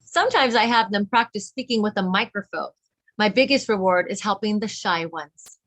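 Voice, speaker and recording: A woman's voice: mid-range voice, lively intonation, moderate pace, a bit bright. A woman reading a children's picture book aloud, with teacherly emphasis for young listeners. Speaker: read-along co-reader.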